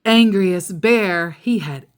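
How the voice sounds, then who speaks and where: A woman reading a storybook aloud to a child, expressive and chatty, with casual asides. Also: mid-range voice, slow, smooth.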